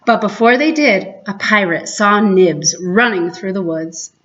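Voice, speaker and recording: Woman reading a bedtime story, dull audio. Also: medium pitch. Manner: measured, expressive read-aloud with dramatic emphasis. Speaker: library storyteller, host.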